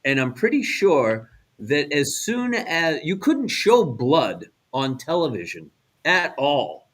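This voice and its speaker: American man co-hosting, a slightly high voice. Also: sing-song, slow, a bit bright. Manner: conversational, checks in with "right?". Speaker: radio show co-host. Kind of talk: casual explanatory chat.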